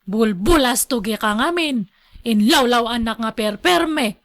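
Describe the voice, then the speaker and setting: A woman playing a discontented, sharp-tongued village wife and mother in a radio drama. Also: fairly high pitch, animated, measured pace, no room sound. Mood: chatty, gossipy.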